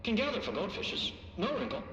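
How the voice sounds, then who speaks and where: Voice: medium pitch, big pitch swings, brisk, lo-fi sound, slight background noise. An actor voicing an eccentric, childlike creature that babbles disjointed nonsense exclamations in a video game. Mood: gleeful, babbling. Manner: disjointed word-salad exclamations. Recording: echoey long hall reverb, loud music.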